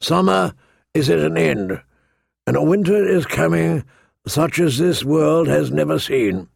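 A man voicing a gruff, grumbling old male military commander who sounds weary and disdainful. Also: a little high, animated, a little slow, a little mellow, no room sound, studio quality, full character voice. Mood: grave.

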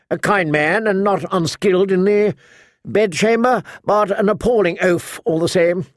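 A man voicing a sharp-tongued old noblewoman in an audiobook, imperious, blunt and talkative. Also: sits high, no room sound, clean studio sound, pitched above the performer's own voice. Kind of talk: audiobook character acting.

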